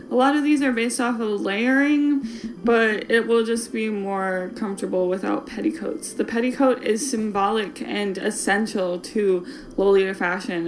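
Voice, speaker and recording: A woman's voice: medium pitch, a little hiss or hum, low-quality recording. A woman with a Wisconsin accent hosting a casual podcast, talking in a playful, jokey, chatty way. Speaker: podcast host. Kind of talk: casual fashion podcast chat.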